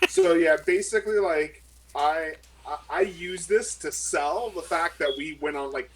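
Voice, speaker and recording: A man's voice: on the high side, unhurried, slight background noise, low-quality recording. A man chatting casually as a player in a tabletop game, rambling with lots of fillers.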